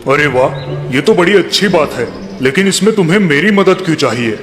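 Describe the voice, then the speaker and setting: A man's voice: fairly high pitch, animated, brisk, close to an everyday voice. Man as a grand, echoing cartoon sun god. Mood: benevolent. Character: grand male sun god, amiable but sly. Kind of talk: children's cartoon story acting. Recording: long hall reverb, faint music.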